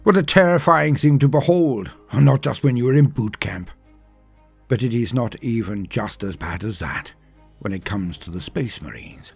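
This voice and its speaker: Male lore narrator: husky, swooping dramatic delivery, emphatic and theatrical, light music bed. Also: medium pitch, expressive, normal speed. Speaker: storyteller and lore channel host.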